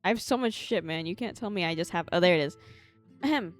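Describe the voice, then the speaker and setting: A young woman doing casual livestream game commentary, expressive and theatrical, giggly and slangy. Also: on the low side, quick pace, soft background music. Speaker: live streamer.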